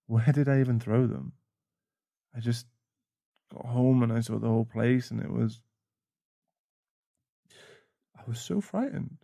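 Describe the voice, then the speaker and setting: A man playing a caring, protective boyfriend in an intimate ASMR roleplay, speaking softly and soothingly with halting pauses. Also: medium pitch, creaky, no echo, studio quality. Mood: shaken, anxious. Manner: soft, soothing, halting, sighing. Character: caring, protective, shaken boyfriend comforting a partner. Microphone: close mic.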